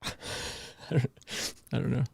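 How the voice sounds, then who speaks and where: A man's voice: measured pace, darkish tone, dry recording, studio quality. A man hosting a casual podcast, chatting and bantering in slangy speech with plenty of "dude". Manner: slangy, says "dude" often. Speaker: podcast host. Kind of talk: casual banter about music with co-hosts.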